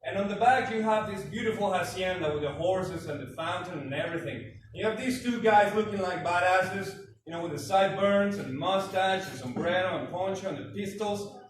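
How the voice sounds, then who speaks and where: A man's voice: sits high, a little fast. Mexican man doing stand-up in an echoey hall. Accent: Mexican Spanish. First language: Spanish. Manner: self-deprecating, acts out scenes in put-on voices. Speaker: stand-up comedian. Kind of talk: stand-up comedy set. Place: large room with live audience laughter. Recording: echoey hall from far off, room noise.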